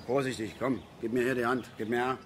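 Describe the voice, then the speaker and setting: An actor playing a stubborn, self-pitying middle-aged angler who grumbles and stammers self-righteously in a naturalistic radio play. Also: male voice, mid-range voice, fairly even intonation, fast talker, a bit bright, a little hiss or hum, close to an everyday voice. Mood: gruff, urging.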